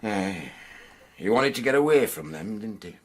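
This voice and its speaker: Deep-voiced Yorkshire pub landlord. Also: male voice, animated, moderate pace, husky. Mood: matter-of-fact. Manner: chatty, colloquial, chuckling. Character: chatty, blunt Yorkshire pub landlord, fond of gossip. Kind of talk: radio drama acting.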